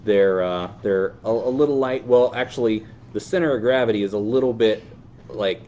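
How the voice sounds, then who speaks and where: A man in his thirties casually hosting a hands-on how-to demonstration in a deadpan, self-deprecating way. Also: on the low side, normal speed, highs cut off. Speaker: host of a fan group's how-to video. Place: indoor tabletop video shoot. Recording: clear background noise.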